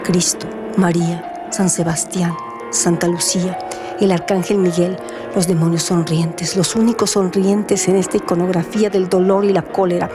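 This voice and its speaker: A woman's voice: lowish voice, flat delivery, normal speed. A woman narrator and radio host reading in a scripted, literary storytelling style, with music behind her voice.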